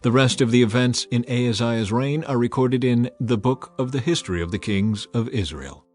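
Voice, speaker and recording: A man narrates a dramatized scripture reading in a measured, solemn voice with an average pitch. Gentle music plays faintly in the background.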